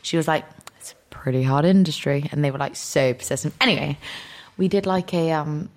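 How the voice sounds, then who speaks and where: A woman's voice: low-pitched, fairly quick. A young woman with a nasal voice, co-hosting a podcast in casual, self-deprecating, rambling chat. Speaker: podcast co-host.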